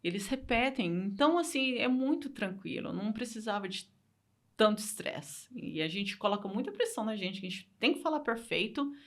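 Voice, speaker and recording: A woman's voice: a little high, expressive, moderate pace, fairly bright tone. A Brazilian woman speaking Portuguese with a Brazilian accent, a guest telling her story in a casual interview, earnest and repeating phrases for emphasis.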